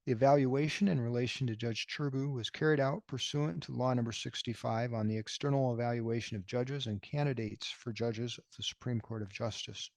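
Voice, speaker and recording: A man chairing a public commission hearing, reading procedural statements in a measured, deliberate way. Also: medium pitch, fairly even intonation, gravelly, a little mellow, no echo. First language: not Romanian. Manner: measured, deliberate official delivery.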